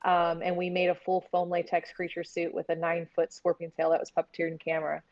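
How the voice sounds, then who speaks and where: A woman's voice: fairly low pitch, completely flat delivery, quick pace, darkish tone, no room sound, lo-fi sound. A woman chatting casually and candidly as an interview guest, talking like a filmmaker about her work.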